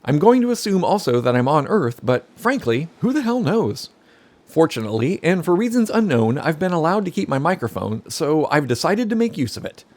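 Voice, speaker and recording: An actor playing a pompous, articulate, pedantic narrator in a scripted audio comedy. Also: male voice, a little high, very animated, a little fast, a little mellow. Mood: puzzled, self-important. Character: fussy, pompous male professional narrator held captive.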